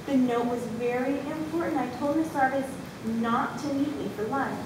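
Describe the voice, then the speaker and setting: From a distance in a very echoey room comes a high, brisk schoolteacher, acted by a woman. She is exasperated and firm, as in a staged school story, with clear noise rumbling behind her. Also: lightly acted. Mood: pleased, brisk. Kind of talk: readers theatre school story performance.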